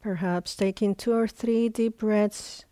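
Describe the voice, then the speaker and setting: Woman meditation teacher, really slow w long gaps, soft-edged mellow tone, dry close sound. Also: medium pitch, steady tone, no echo. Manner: unhurried, with long pauses between short phrases. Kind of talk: guided meditation instruction.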